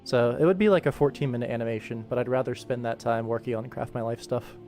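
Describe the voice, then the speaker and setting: A man with a mid-pitched voice hosts a livestream as an animator. He talks casually while he works, with faint music playing behind him. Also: fast, dark tone. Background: faint background music.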